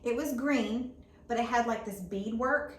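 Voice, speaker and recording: A woman's voice: a little high, measured pace. A woman, chatting casually as co-host and laughing often. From South Carolina. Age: 40s. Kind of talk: casual chat. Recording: echoey room, mic at a distance, faint noise.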